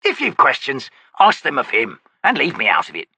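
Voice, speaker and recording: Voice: mid-range voice, wildly swooping pitch, normal speed, vocal fry, sharp tone, breathy edge, no room sound, pitched above the performer's own voice, exaggerated voice. A narrator voicing a short-tempered woman who snaps curtly. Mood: irritated. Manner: snapping, curt.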